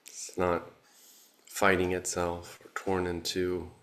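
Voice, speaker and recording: A man leading a guided meditation, speaking calmly with long pauses. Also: sits low. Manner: slow, calm, with long pauses. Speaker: meditation teacher.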